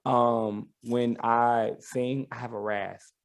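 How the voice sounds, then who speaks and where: A man's voice: medium pitch, little pitch movement, takes it slowly. A man from Tennessee with a Southern US accent and a lisp, talking candidly as a guest in a podcast interview. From Tennessee, United States. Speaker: guest, R&B soul singer. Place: studio.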